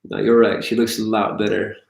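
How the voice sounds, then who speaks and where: A man's voice: on the low side, level tone, brisk, vocal fry, a little mellow, echoey room, low-quality recording. A man hosting a live question-and-answer stream, joking and teasing while he reads out viewer questions. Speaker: YouTube host.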